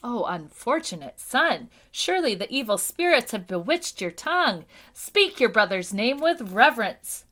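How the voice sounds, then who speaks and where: A woman's voice: a little high, big pitch swings, moderate pace, few pauses, a bit bright. A woman voicing a stern, formal mother who scolds her child.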